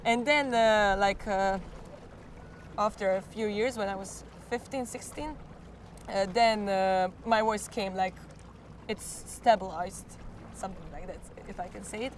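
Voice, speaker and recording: A teenage girl from Croatia, speaking English with a Croatian accent, answering interview questions about singing in a klapa. She sounds a little hoarse and often pauses to search for words. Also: medium pitch, a little hiss or hum. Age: teens. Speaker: klapa singer.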